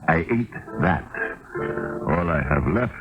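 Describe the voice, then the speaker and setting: An actor playing a gruff, sly, down-and-out Russian drifter in a radio drama, grumbling in a hesitant drawl. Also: male voice, sits low, animated, slow pace. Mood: grumbling, resigned. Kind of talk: old-time radio drama acting. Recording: dull 1970s radio recording with music.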